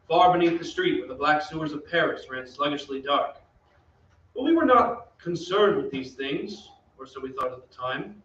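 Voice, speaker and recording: A man playing an opera singer who solemnly narrates a dark tale in old-time radio drama style. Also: fairly high pitch. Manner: dramatic, literary storytelling. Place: theater stage in front of an audience. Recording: roomy stage, distant mic, muffled, faint noise.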